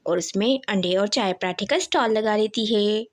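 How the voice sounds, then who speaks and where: A woman narrating a scripted children's cartoon story in an expressive, dramatic storytelling manner. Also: mid-range voice, normal speed.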